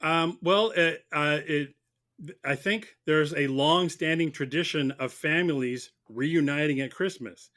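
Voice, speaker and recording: A man arguing as prosecutor in a mock courtroom debate, speaking haltingly and repeating words as he makes his points. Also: a little high, sharp tone. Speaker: guest debater playing prosecutor.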